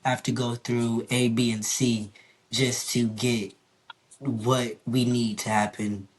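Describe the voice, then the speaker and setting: Earnest young man, slightly hoarse. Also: mid-range voice, little pitch movement, slow, slight background noise. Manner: earnest, open, rambling with fillers. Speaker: podcast guest. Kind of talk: candid casual chat.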